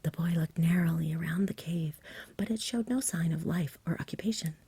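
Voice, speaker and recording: Very breathy, soft whispering woman narrator. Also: sits low, dry recording. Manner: gentle, slow, soothing. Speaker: ASMR storyteller. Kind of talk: ASMR bedtime story reading, scripted narration.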